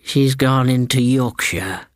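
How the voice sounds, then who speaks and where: A man voicing a sour, peevish, half-blind old aunt with a croaking voice, in a ghost story reading. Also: mid-range voice, takes it slowly, dry recording, studio quality, lightly acted. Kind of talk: dramatised audiobook ghost story.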